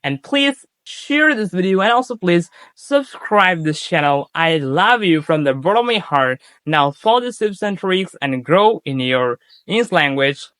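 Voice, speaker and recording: Earnest young Bangladeshi-accented man, Bengali native, coaching English in a vlog; fairly high voice, dry close sound, restarts and repeats himself. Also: lively intonation, measured pace, few pauses, fairly bright tone, smooth, dry recording. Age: young adult. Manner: earnest, repetitive, often restarting and correcting himself. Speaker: English-speaking coach, channel host. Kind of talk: casual tips vlog.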